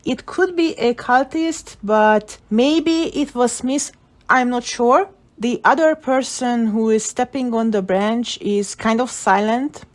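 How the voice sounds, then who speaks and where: Woman giving casual theory commentary. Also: a little high. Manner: speculative, opinionated. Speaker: video creator analysing game story. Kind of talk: casual video essay commentary.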